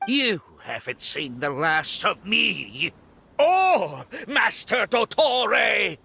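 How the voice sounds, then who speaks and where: Haughty villain schemer, high male voice, very animated, sneering, theatrical, defiant, creaky, video game. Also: extremely slow, a little hiss or hum, heavily acted. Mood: bitter, threatening.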